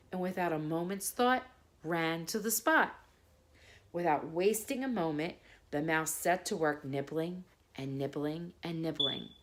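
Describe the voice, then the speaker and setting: Woman, slightly low voice, storytime host, child-directed, friendly, simple phrasing. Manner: addresses young children in simple, friendly words. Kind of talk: child-directed talk and bedtime story reading.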